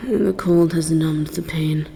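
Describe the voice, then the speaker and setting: A woman voicing an aloof, wounded, world-weary dragon in a measured, solemn, formal roleplay monologue. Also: sits low, steady tone, creaky, dark tone, slight background noise, heavily acted. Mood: grudgingly grateful. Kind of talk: slow dramatic roleplay audio monologue.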